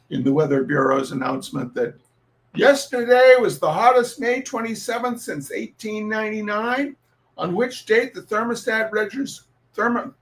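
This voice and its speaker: A man reading humorous essays aloud in a theatrical, emphatic way, with casual delivery and comic repetition. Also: sits high.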